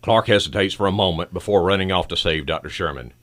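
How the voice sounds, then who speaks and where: A man's voice: deep voice, fast talker, a bit bright. A man giving dramatic, scripted narration as the narrator of an old-style superhero radio drama.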